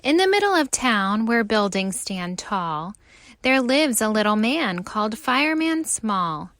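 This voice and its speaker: A woman reading a story aloud for young children in an expressive, theatrical way. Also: on the high side, a little slow, no echo. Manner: animated, theatrical delivery.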